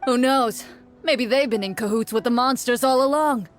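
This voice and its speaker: A woman's voice: on the high side, moderate pace, faint music behind, lightly acted. A woman putting on a curt, scornful male merchant. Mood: cynical, grudging. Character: gruff, greedy, cynical male city merchant. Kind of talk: video game character acting.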